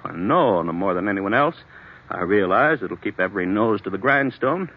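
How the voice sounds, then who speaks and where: A man's voice: lowish voice, expressive, moderate pace, slightly rough. An actor playing an anxious, troubled businessman in a 1940s radio drama, speaking hesitantly and earnestly on a dull old recording. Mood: guarded. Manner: hesitant, earnest, agitated when challenged. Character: troubled, anxious businessman suffering blackouts, confiding in a doctor.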